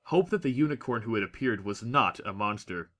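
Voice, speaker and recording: Bright-voiced man narrating a dramatic story. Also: fairly low pitch, animated, a little fast. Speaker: audiobook narrator.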